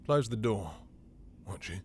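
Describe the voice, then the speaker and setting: A man playing a possessive, domineering vampire master in an intimate audio roleplay, with slow, teasing, silky delivery and a breathy, gravelly murmur. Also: lowish voice, lively intonation, darkish tone, a little hiss or hum. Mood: flirtatious, courteous.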